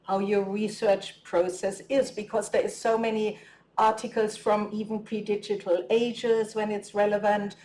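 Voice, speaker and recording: A woman's voice: mid-range voice, flat delivery, moderate pace, darkish tone. Eager woman moderator, echoey hall. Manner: eager and fluent, long build-up to her questions. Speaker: moderator and academic. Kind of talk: interviewing a guest on stage. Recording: roomy echo.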